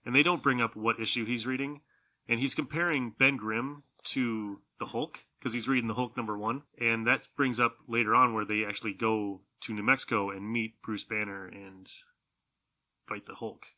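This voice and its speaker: Man, podcast host, casual chat, mid pitch, sharp bright voice. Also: fairly even intonation, moderate pace, poor audio.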